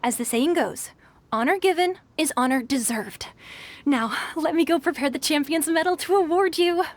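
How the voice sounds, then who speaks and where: A woman's voice: sits high, moderate pace, natural voice. A woman voicing a polite, formal female ship crew member in a video game. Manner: courteous and formal. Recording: game music behind.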